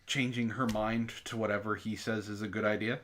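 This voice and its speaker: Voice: mid-range voice, fairly even intonation, a little fast, fairly bright tone. An outspoken, exasperated host who swears freely, moving between casual banter and mocking dramatic reading. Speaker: book club show host reading aloud.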